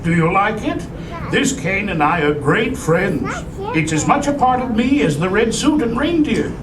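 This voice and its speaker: A man playing a gentle, courteous old Santa in a theatrical puppet show. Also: very animated, unhurried, crowd noise. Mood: kindly. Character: kindly, courteous old Santa, cheerful and particular. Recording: echoey, distant recording with rumbling noise.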